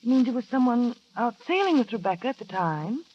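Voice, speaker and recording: An actress playing a timid young wife in an old radio drama, gentle and pleading. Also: female voice, fairly high pitch, big pitch swings, brisk, muffled recording. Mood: distraught. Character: young anxious wife, grown woman, timid. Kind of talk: 1940s radio acting.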